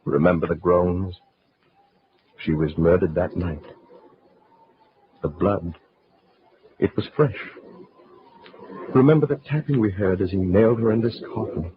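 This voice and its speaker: A man playing a horrified gentleman in an old-time radio horror play, dramatic and halting. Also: low-pitched, slightly rough, full character voice. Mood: haunted. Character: horrified gentleman piecing together a murder. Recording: muffled old radio recording.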